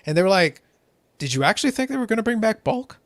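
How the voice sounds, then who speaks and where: A man who speaks a little higher than most, hosting a casual hobby chat. He explains with animation and often repeats a phrase as he gets going. The sound is dry and close. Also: very animated, quick pace, no room sound. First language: not English. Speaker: podcast host.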